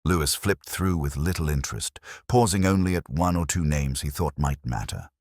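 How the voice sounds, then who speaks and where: A man narrating a scripted first-person detective story in a measured, literary, brooding manner. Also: rumbling low, a little mellow, dry recording, clean studio sound.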